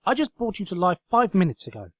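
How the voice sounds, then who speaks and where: A man's voice: very animated, fast, fairly bright tone, dry recording, heavily acted. A man voicing a manic, bossy young female unicorn in a comedic story reading, ranting and melodramatic. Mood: snappy, accusing. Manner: shrill, ranting, insistent.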